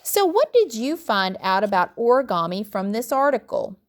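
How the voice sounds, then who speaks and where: A woman reading an article aloud as a teacher would for students, in an instructional, deliberate way with the odd stumble over words. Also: fairly high pitch, very animated. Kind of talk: read-aloud lesson narration.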